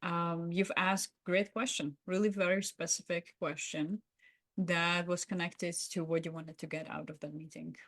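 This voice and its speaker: A woman's voice: fairly low pitch, steady tone, moderate pace, a bit bright, no echo. A woman co-hosting a podcast in unscripted reflective discussion, agreeable and affirming as she adds her thoughts. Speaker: podcast co-host.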